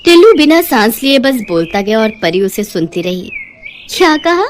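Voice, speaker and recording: A woman's voice: mid-range voice, very animated, moderate pace, slight background noise. Woman narrating a moral story.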